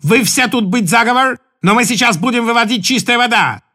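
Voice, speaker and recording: A man's voice: very high voice, a little fast, sharp tone, heavily acted. A man voicing a bossy, armed woman with a German accent who barks orders in broken grammar. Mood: commanding.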